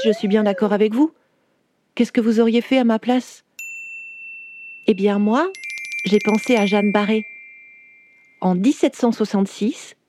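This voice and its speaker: A woman's voice: on the high side, slight background noise, lightly acted. Woman playing a chatty 19th-century woman writer. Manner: warm, chatty, speaks directly to the listener. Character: 19th-century woman writer telling her own life story. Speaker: storyteller. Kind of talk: first-person storytelling for children.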